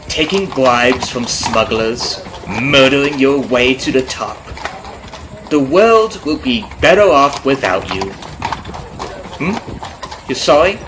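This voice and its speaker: Man playing a taunting, vengeful carriage driver, swooping pitch. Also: noisy background. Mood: bitter, then cold, vengeful. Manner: taunting, conversational with rhetorical tag questions. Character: vengeful male carriage driver, friendly surface turning coldly menacing. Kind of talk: ASMR roleplay monologue. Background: clip-clopping horse and carriage ambience.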